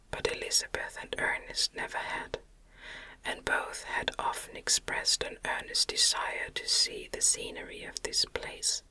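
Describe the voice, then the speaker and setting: A woman reads classic gothic fiction in a soft ASMR whisper, with no full voice at all. Her delivery is slow, deliberate and soothing. The breath is very bright and sharp-edged, every phrase set down gently. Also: rough recording. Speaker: audiobook reader.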